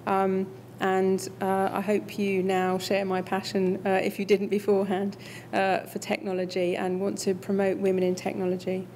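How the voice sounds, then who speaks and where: A woman speaking to an audience, wrapping up her talk and answering a question in a relaxed, conversational way with many fillers. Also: medium pitch, moderate pace, few pauses, a little hiss or hum. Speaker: invited speaker.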